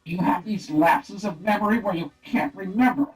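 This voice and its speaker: An actor playing an earnest, exasperated police sergeant in a comic radio play. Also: male voice, high-pitched, normal speed, faint music behind, natural voice, rough recording.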